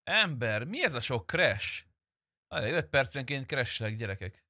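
A man with a bright, forceful voice, recorded close and dry. He chats casually over his gameplay as a streamer would, blunt and free with swearing. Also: mid-range voice, very animated, no echo. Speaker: game streamer. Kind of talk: casual gameplay chat and roleplay commentary.

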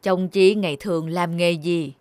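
An actor playing a stern middle-aged magistrate in a cartoon, deliberate and authoritative. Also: male voice, sits high, fairly even intonation, a little mellow, no room sound, natural voice. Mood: puzzled, authoritative. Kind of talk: cartoon voice acting.

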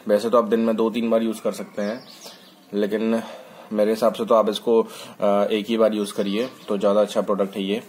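A man's voice: lowish voice, darkish tone, slightly rough. A man talking casually to camera in a product review vlog, with hesitant fillers and restarts, recorded indoors on a phone. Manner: informal, fillers and restarts, mixes English words. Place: indoors, hands handling bottle on a table.

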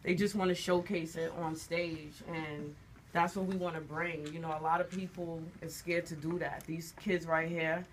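A woman's voice: sits low, fairly even intonation, moderate pace, a little hiss or hum. A woman who directs a dance studio, speaking earnestly and proudly as a guest in a radio studio.

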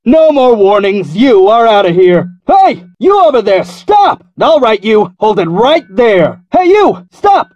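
An actor playing a bossy, stern security guard in a video game, barking orders. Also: male voice, high voice, big pitch swings, measured pace, hardly any pauses, darkish tone, no echo, dull audio. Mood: stern, alarmed.

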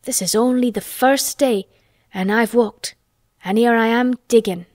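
A lordly young boy , as a woman reader plays him, decisive and commanding, with an excitable edge. It is storybook character acting in a dry, close recording. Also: medium pitch, normal speed, no echo, close to an everyday voice. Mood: exultant, eager.

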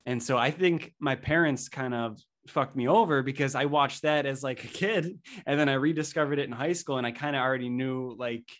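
A man's voice: medium pitch, fairly bright tone. A young man in his twenties hosting a podcast, chatting in an upbeat, eager way into a desk microphone in a small room. He says he sounds a little sick. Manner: upbeat, fast chatty delivery. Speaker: podcast host. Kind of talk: casual interview chat. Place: small indoor room, plain wall. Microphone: desk microphone in frame.

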